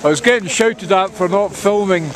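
A man's voice: high-pitched, normal speed, crowd noise. Scottish man filming on a ski slope. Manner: loud, teasing, calls out to others. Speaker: club member filming the trip. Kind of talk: casual banter while filming. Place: outdoors on a snowy ski slope. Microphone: handheld camera mic. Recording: crowded outdoor ski slope.

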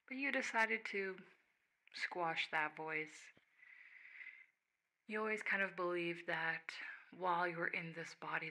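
Soft, bright-voiced woman in ASMR monologue. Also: lowish voice, low-quality recording. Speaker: ASMR host speaking as the listener's higher self.

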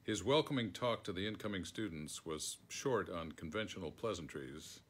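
A man's voice: low voice, animated, moderate pace, husky. An older American man from New York State reading a written memoir aloud as scripted narration. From Schenectady, New York, United States. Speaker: foreword author reading aloud. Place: book-lined room at home.

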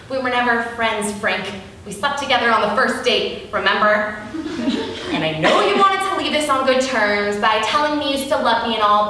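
Woman acting a frantic jilted girlfriend, high and echoey. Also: exaggerated voice. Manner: rambling, emotional, animated confessional. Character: jilted, frantic, neurotic woman pleading with her ex. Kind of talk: comic stage monologue. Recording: echoey room, distant mic, faint noise.

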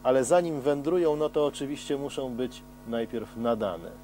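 A middle-aged man explaining helicopter testing as a TV presenter, in a measured way with frequent hesitations. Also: mid-range voice, over music. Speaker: TV science presenter. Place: outdoors at a helicopter test stand.

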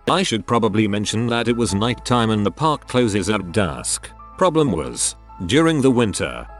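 A man reading online stories aloud as a narrator, in a measured, scripted storytelling delivery. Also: on the low side. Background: background music.

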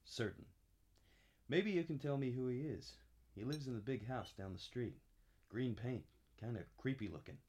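A man playing a world-weary, wry private detective, deadpan, narrating a noir radio drama. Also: medium pitch, normal speed, a little hiss or hum, close to an everyday voice. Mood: curt, questioning. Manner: deadpan, hardboiled. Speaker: narrator and lead actor.

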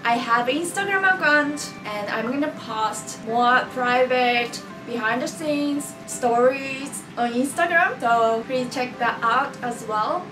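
Woman in her 20s, cheerful vlogger, slow, set back from the mic. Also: high-pitched, recorded from a distance. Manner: cheerful, chatty, slightly halting. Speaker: cooking vlogger. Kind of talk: casual cooking vlog chat. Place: small room with curtain backdrop. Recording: roomy, mic set back, music underneath.

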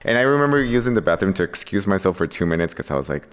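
A man with a very low voice tells his story and puts on his own earlier words as he goes. He sits at a boom-arm mic in a podcast studio, and the room leaves a long reverb tail. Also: rapid-fire, smooth. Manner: animated, acts out his own past lines. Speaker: podcast guest, coffee company founder. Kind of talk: casual podcast conversation. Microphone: boom-arm studio microphone.

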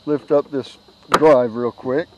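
A middle-aged man giving a casual, unscripted product walkthrough outdoors on a camera mic, halting and repeating words as he goes. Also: mid-range voice, fairly even intonation, highs cut off, noisy background. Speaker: product reviewer, kayak owner. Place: outdoors on a grassy yard. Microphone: handheld camera's built-in microphone.

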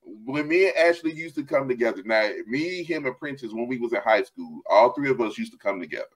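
A man's voice: medium pitch, fairly quick, slightly rough, low-quality recording. A middle-aged man, an author chatting animatedly over a video call from a room at home, laughing often. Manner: animated, laughs often, gestures while talking. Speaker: author and host. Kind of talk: casual video call chat. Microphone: headset.

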